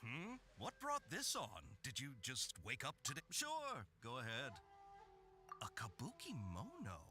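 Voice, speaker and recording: An actor playing a cheerful, talkative traveler and researcher in a video game, with a theatrical, genial manner. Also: male voice, medium pitch, huge pitch swings, measured pace, bright, forceful tone, exaggerated voice. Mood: genial, eager. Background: game music.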